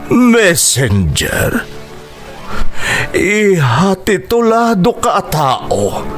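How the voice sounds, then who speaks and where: A Filipino man with an Ilocano accent playing an anguished, protective middle-aged father in a radio drama, emotive and halting. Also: high-pitched, fairly bright tone, exaggerated voice. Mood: anguished, disappointed.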